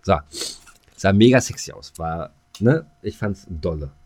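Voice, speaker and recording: A man's voice: sits low, expressive, a little slow, darkish tone. A middle-aged man, chatting casually and colloquially as a podcast co-host, rambling in a matey way. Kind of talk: casual chat.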